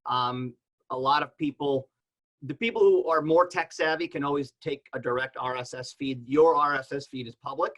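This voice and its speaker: A man speaks as a panelist in a medium-pitched voice. He explains practical points casually, in a plain-spoken conversational style. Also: normal speed, fairly bright tone, rough recording. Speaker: podcast panelist.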